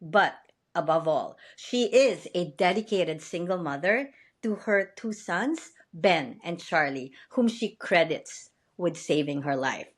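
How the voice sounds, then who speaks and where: A woman's voice: lowish voice, expressive, takes it slowly, husky. A woman podcast host with a Filipino accent, speaking upbeat and laughing often on a video call. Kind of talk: podcast interview.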